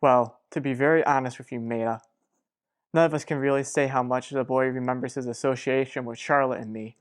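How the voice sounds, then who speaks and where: A woman playing a frank, measured male family friend in a radio soap opera. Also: a bass voice, steady tone. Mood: grave, measured.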